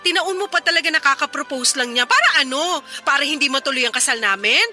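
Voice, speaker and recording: Indignant high, piercing bride-to-be. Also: female voice, expressive, quick pace, pushed and bright, soft background music, full character voice. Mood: angry, accusing. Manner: accusatory, defensive, emphatic. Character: indignant engaged woman defending her fiancé. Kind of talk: Filipino radio drama acting.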